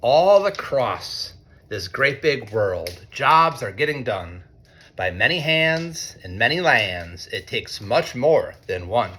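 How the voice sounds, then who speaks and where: An American man, a teacher, reads a picture book aloud to children in an expressive way, with conversational asides. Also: medium pitch, slight background noise. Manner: expressive, deliberate when reading, conversational in asides. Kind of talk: read-aloud storytelling with chatty asides to children.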